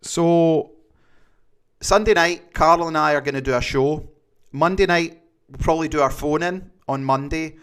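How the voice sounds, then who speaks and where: A man's voice: a little high, a little slow, smooth. A man with a Glaswegian accent hosting a livestream, chatting casually and reading viewer comments, rambling with many fillers. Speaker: livestream host.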